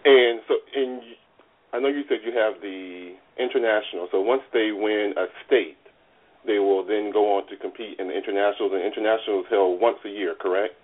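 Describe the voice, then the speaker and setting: A man's voice: lowish voice, steady tone, fairly quick. A man co-hosting a talk show, asking questions with eager, encouraging enthusiasm. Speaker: co-host. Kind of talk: casual radio talk show chat. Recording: tinny phone-like line.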